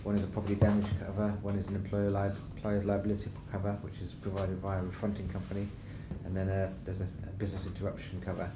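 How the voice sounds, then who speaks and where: A man's voice: deep voice, monotone, fast, few pauses. A man co-presenting a conference session, talking plainly and practically as he walks through worked examples. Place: conference room. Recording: echoey room with background noise.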